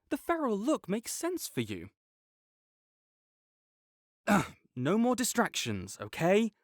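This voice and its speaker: A man playing a teasing, lively student rival. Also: on the high side, no room sound, studio quality. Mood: flustered. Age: young adult. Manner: teasing and bossy, gets flustered and defensive. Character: young male student, competitive academic rival, slightly tsundere. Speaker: voice actor in an audio roleplay. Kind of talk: audio roleplay acting.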